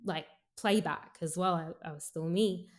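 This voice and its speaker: A young woman singer from Sri Lanka, raised in Australia, speaks softly in a relaxed interview. Her voice sits low for a woman, and she thinks aloud, filling her sentences with little fillers as she circles her point. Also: a little slow. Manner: soft-spoken, frequent "like" fillers, thinking aloud. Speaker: singer, guest. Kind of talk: casual interview conversation.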